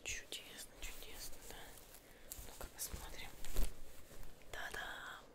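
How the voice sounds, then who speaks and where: A young woman playing a friendly, busy witch seamstress in an ASMR roleplay, whispering softly and soothingly close to the mic. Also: lightly acted. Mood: delighted. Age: young adult. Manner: soft, unhurried, soothing, with murmured repetitions. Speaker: ASMR performer. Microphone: close microphone.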